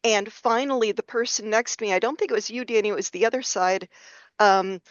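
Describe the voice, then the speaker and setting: A woman's voice: mid-range voice, brisk, dry recording, muffled recording. A woman speaking conversationally as a podcast guest, recalling her experience as an amateur performer. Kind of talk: conversational recollection.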